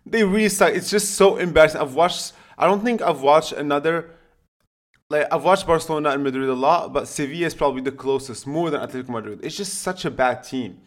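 A man's voice: fairly high pitch, a little fast. A man co-hosting a podcast, talking casually about football in a blunt, opinionated way with casual swearing. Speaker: podcast co-host.

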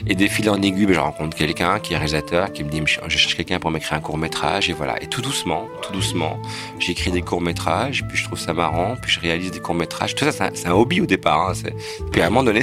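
A man telling his own story in a relaxed, casual way for a documentary interview. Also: low-pitched. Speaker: playwright and director.

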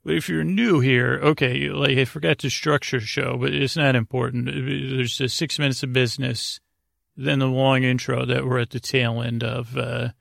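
Middle aged guy w/ creaky gravelly voice, rambly podcast host, pretty flat delivery, keeps wandering off track. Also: mid-range voice, no room sound, clean studio sound. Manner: meandering, halting, digressive, self-interrupting. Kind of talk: rambling bedtime podcast monologue.

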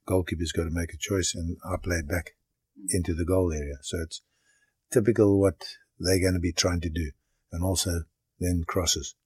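A man's voice: sits low, steady tone, normal speed, darkish tone, husky, no room sound. An older man who grew up in Zimbabwe, a goalkeeper coach answering interview questions and explaining his training step by step. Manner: explains step by step, plain and practical.

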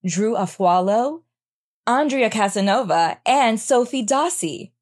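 A woman's voice: fairly high pitch, animated, slow pace, a little mellow. A woman reading a scripted, upbeat introduction for a show. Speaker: show announcer.

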